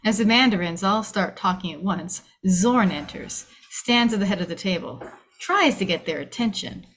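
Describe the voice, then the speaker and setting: A woman's voice: medium pitch, expressive, moderate pace, fairly bright tone. Woman narrating screenplay stage directions. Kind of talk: screenplay table read narration.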